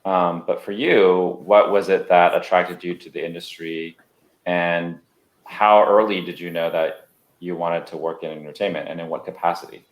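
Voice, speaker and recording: A man's voice: low-pitched, fairly even intonation, moderate pace. A man hosting a casual remote interview, informal, restarting his sentences and dropping in short interjections. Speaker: podcast host, interviewer. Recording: roomy, echoing remote recording.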